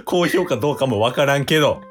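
A man's voice: medium pitch, lively intonation, fast. A man with a Kansai accent co-hosting a casual comedy radio show.

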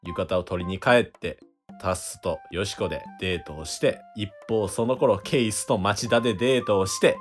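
A man's voice: lowish voice, normal speed, faint music behind. A man with a Kansai accent co-hosting a radio drama, chatting and recapping in a jokey, informal way. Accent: Kansai Japanese. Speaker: radio drama co-host and performer.

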